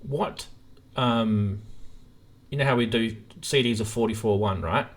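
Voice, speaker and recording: A man's voice: on the low side, a little slow. An Australian man hosting a casual live chat about music gear. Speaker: live stream host.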